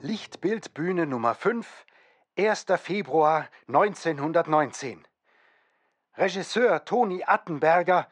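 A man reading scripted narration and quotations for a radio documentary. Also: fairly high pitch. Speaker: narrator and reader of quoted documents.

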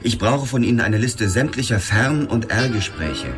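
An actor playing a sober, dogged police detective in a crime radio drama, terse and matter-of-fact. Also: male voice, mid-range voice, animated, normal speed, lightly acted. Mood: brisk, businesslike. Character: hard-boiled male police detective narrating his case, dogged and sober. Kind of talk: German radio drama, first-person crime narration. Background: music.

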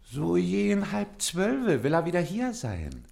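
High-pitched, servile office clerk. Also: male voice, wildly swooping pitch, vocal fry, a little mellow, exaggerated voice. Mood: servile, dutiful. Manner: deferential, halting, formal. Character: servile, dutiful, hesitant male clerk reporting to superior. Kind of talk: German radio play acting.

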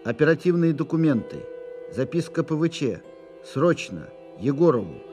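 A wartime official reading urgent military documents in a radio play. The man's voice is somewhat high, with formal, clipped, emphatic delivery. Light music runs behind the words. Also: big pitch swings, normal speed, dark tone. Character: official male reader of urgent military dispatches.

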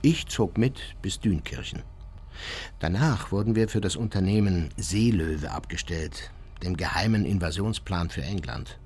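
An actor playing an unrepentant Nazi war criminal recounting his memoirs in a measured, matter-of-fact way for a radio play. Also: sits low, animated, darkish tone, husky, full character voice.

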